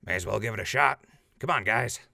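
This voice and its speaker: A man's voice: on the low side, brisk, vocal fry, bright, forceful tone, a little hiss or hum, heavily acted. A man playing a casual, joking leader of a mercenary crew in a post-apocalyptic audio drama. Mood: businesslike. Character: talkative, wisecracking wasteland mercenary crew leader.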